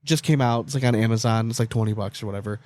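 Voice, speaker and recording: A North American man co-hosting a casual podcast, telling things in an animated, swear-happy way peppered with "like". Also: fairly low pitch, racing, no room sound, clean studio sound. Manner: profane, animated storytelling with frequent "like". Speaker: podcast co-host.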